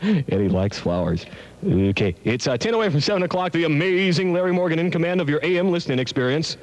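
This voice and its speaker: A man's voice: a little high, expressive, fast, no room sound, low-quality recording. An American man in his thirties working as a radio DJ, with playful, wry on-air patter and caller banter. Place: small radio studio. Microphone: boom studio microphone, close. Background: music.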